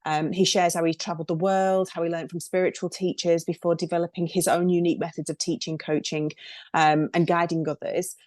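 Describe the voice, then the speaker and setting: Podcast host lady, kinda low voice, chatty and excited, lots of likes and ums, dry close mic. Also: brisk, dry recording. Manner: chatty, filler-heavy and enthusiastic. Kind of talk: podcast intro and interview.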